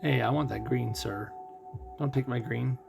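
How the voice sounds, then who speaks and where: A man giving casual live game commentary as a streamer, musing and thinking out loud as he goes. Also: mid-range voice, fairly even intonation, unhurried, vocal fry, music in the background. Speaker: gaming streamer.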